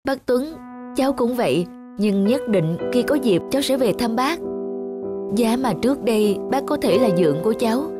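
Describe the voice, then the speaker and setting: A woman's voice: on the high side, lively intonation, moderate pace, music in the background, natural voice. Woman playing an earnest, emotional adult daughter. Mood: wistful. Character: caring, earnest adult daughter, guilty and pleading.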